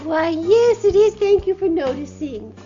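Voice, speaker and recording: A woman's voice: very high-pitched, animated, a little slow. An actress playing a prim, vain and naive well-dressed lady, fretful and precious as she dotes on her dog. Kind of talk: acted video game cutscene. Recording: muffled game audio, faint music.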